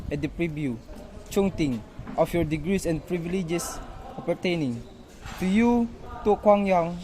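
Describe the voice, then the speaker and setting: A man's voice: high voice, background noise, close to an everyday voice. A man playing a solemn, ceremonious magistrate in an amateur radio drama, speaking formally and declaiming.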